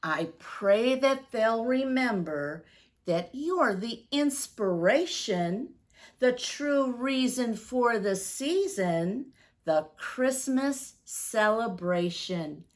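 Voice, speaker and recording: A woman's voice: on the high side, lively intonation, drawn-out words. A woman voicing a humble, devout old Santa in a storybook, praying in a reverent, pleading manner. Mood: earnest, reverent.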